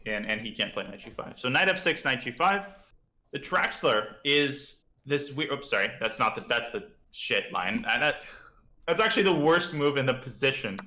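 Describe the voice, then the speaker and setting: A man's voice: mid-range voice, lively intonation, normal speed, bright, forceful tone, reverberant. A man with a slightly parched throat, chatting casually as he teaches chess on a live stream and thinks aloud about moves.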